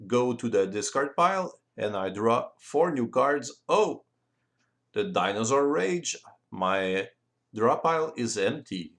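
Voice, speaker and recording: A man with a French accent walks through how a board game is played. His delivery is slow and a little hesitant, as he thinks aloud. His mid-pitched voice moves up and down in a very animated way. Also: gravelly. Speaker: board game rules presenter. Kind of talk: explanatory walkthrough of game play.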